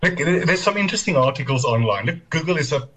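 Husky-voiced man explaining earnestly. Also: on the high side, expressive, fast talker, a bit bright. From South Africa. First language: Afrikaans. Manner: earnest, explanatory, self-correcting. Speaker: technology commentator guest.

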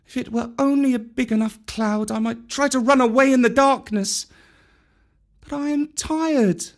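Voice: medium pitch, normal speed, pitched above the performer's own voice, lightly acted. A reader voicing a tired, bewildered young boy of the jungle, in a raised, wondering voice. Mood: weary. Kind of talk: audiobook character voice.

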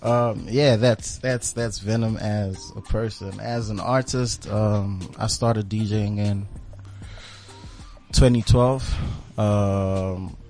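A man from Zambia, a DJ guest, giving calm, laid-back answers in an interview. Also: on the low side, slow, faint music behind.

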